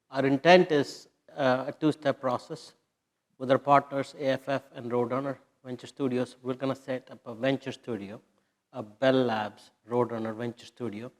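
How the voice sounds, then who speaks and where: A man speaking as an executive at a press conference, answering questions in a confident, emphatic way. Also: medium pitch. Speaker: company executive.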